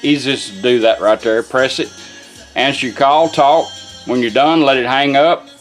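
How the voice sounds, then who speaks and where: Male product demonstrator, informal and folksy, medium pitch, casual hands-on walkthrough. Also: moderate pace, soft background music. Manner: folksy, informal, talks to the gadget.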